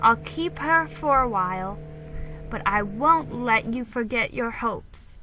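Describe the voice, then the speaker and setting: A woman voicing a kind, understanding, gently firm female confidante in a storybook, speaking in an encouraging way. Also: on the high side, animated, takes it slowly, a little hiss or hum, exaggerated voice, low-quality recording. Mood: gentle, encouraging.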